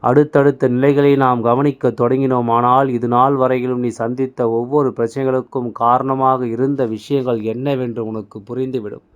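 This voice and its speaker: The smooth, mid-pitched voice of a man on a morning devotional channel, urging each listener on like his own child. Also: flat delivery, normal speed, hardly any pauses. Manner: exhorting, repetitive, addressing listener as a child. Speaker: devotional channel speaker delivering messages in a deity's voice. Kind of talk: spiritual motivational monologue.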